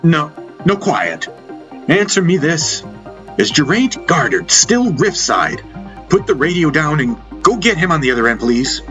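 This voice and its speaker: A man's voice: fairly high pitch, very animated, voice pushed up. A man voicing an insistent, commanding stranded army major bargaining urgently in an audiobook. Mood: sharp, urgent. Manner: insistent, emphatic, halting when pleading. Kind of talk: dramatic audiobook dialogue. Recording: music behind the voice.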